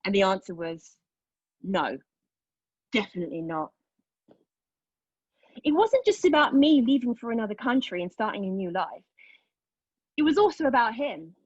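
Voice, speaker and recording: A woman acting a dramatic monologue as a wounded, reflective woman, telling her story intimately with pauses and emphasis. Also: a little high, big pitch swings, a little mellow, husky, no echo, muffled recording. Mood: bitter, wounded. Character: wounded, reflective woman recounting lost love and travel. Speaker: actor in a play table read.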